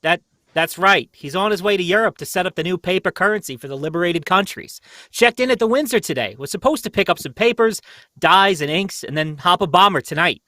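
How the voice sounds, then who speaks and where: A man plays an easily flustered police inspector in a radio drama. His voice is high and forceful, jumping around in pitch as he blusters and stammers. The delivery is urgent and exclamatory. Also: animated, a little fast, no echo. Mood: shocked. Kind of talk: old-time radio mystery acting.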